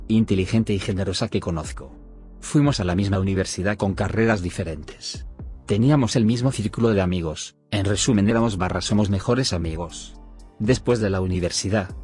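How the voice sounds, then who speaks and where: A man reading a first-person forum story aloud in a steady, even storytelling manner. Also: on the low side. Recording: faint background music.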